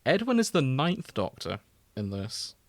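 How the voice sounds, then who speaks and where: A man's voice: mid-range voice, sing-song, unhurried, no room sound. A man chatting casually as a podcast co-host, informal and opinionated in a bantering way.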